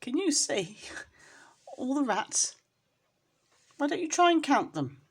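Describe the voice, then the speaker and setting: A woman's voice: sits high, sing-song, slow pace. A woman storyteller reading a children's storybook expressively, as if to a young listener.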